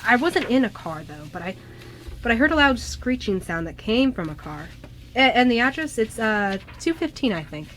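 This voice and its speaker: Woman as playful young male ghost, lively pitch. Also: a little hiss or hum. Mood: confused, hesitant. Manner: hesitant, halting, casual. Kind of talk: amateur online radio drama reading.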